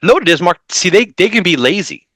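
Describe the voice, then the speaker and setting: A man in his thirties co-hosting a comics podcast from home over a video call, talking in an animated way with many restarts. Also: high-pitched, no echo, studio quality. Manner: animated, talks fast with frequent restarts. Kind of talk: casual chat.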